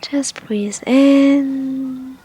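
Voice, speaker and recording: Female meditation guide, high breathy voice, very slow and soft, gentle instructional delivery with long pauses. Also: steady tone.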